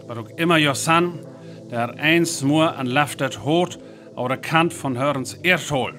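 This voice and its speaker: An actor playing a confident army captain reporting from the field in a radio drama. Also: male voice, on the high side, pushed and bright.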